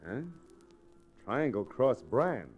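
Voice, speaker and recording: Radio Western villain: blustering cattleman from the East, medium pitch, very animated, gruff indignation. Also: slow, lo-fi sound, soft background music. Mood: baffled. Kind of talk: old-time radio Western acting.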